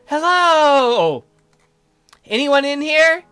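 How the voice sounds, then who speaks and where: Man putting on a perky high-pitched teasing schoolgirl. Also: lively intonation, drawn-out words, sharp tone, faint music behind, full character voice. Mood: bubbly, excited. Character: perky, teasing, pushy young schoolgirl in a visual novel.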